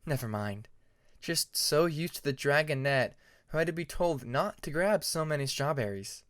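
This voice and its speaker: A man voicing a gentle, courteous older female dragon diplomat in an audiobook. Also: fairly high pitch, expressive, moderate pace, no echo, studio quality. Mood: concerned, wistful.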